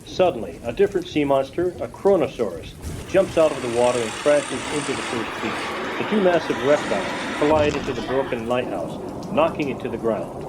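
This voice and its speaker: A man's voice: mid-range voice, expressive, a little fast. A man giving scripted narration for a radio play as its narrator. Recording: noisy rain and storm ambience.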